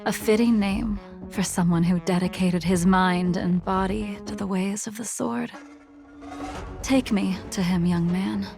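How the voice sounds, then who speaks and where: An actress voicing a calm, solemn swordswoman in a video game, with a cool, measured, formal delivery. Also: mid-range voice, gravelly. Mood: solemn, resolute. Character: calm, solemn, veiled swordswoman of great composure. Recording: music behind the voice.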